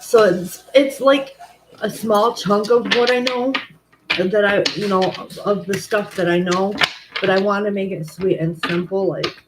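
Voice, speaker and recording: A woman's voice: medium pitch, steady tone, unhurried. Woman tarot reader, casual rambling chat, commanding tone, light background hiss. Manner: rambling, self-correcting, frequent "like" and "um".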